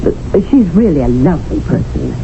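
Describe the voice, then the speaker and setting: A tactful English widow coaxes her brother in polite, lilting tones. Her pitch rises and falls with lively variety, and the sound is thin and tinny, with faint hiss. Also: normal speed. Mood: coaxing. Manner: polite, coaxing, diplomatic. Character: genteel widowed sister, gently matchmaking. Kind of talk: 1970s radio drama acting. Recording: tinny old radio broadcast with faint hiss.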